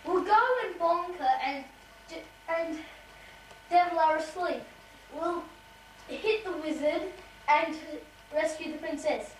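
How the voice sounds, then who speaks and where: A young female performer voicing an earnest puppet hero in an amateur show, speaking haltingly on an echoey home video. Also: very high-pitched. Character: earnest good-guy puppet planning a rescue. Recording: echoey home video, distant, some noise.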